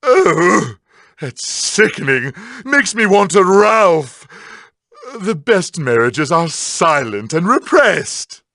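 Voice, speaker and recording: Theatrical high-pitched evil mad scientist. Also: male voice, sing-song, sharp tone, no echo, studio quality, exaggerated voice. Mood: scornful. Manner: pompous, mocking, drawn-out theatrical delivery. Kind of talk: scripted comedic in-character monologue.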